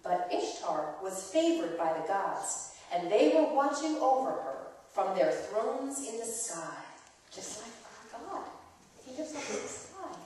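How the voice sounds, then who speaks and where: A woman telling a myth aloud in a dramatic reading, expressive and explanatory, as if to children. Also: on the high side, measured pace, a little hiss or hum. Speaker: storyteller. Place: church, performed live before listeners. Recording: very echoey church hall, distant microphone.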